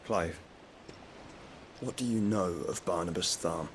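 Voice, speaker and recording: Solemn, formal adviser. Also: male voice, sits low, slow, background noise. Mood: grave. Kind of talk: cinematic video game acting.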